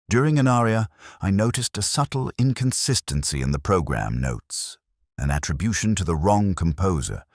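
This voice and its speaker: A man narrating a first-person detective story in a measured, literary, contemplative way. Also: rumbling low, dry recording, studio quality. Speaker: audiobook narrator.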